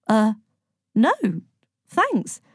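Woman as guarded schoolboy, slightly lifted pitch. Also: sing-song, extremely slow, no echo, studio quality, heavily acted. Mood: bemused. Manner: defensive, hesitant. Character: guarded, worried, polite English schoolboy.

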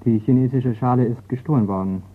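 An actor playing a courteous, persistent insurance investigator in a radio crime play. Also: male voice, medium pitch, a little fast, slightly rough, close to an everyday voice. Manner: courteous, probing. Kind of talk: German radio drama acting. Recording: tinny, noisy radio recording.

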